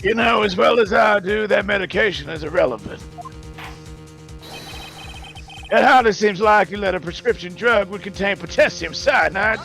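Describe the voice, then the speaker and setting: Man playing a smug, creaky prosecutor. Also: high-pitched, a little fast, fairly bright tone. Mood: dismissive. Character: smug, cryptic male prosecutor in a courtroom game. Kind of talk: amateur live fan dub of a game. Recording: game music faintly behind voice.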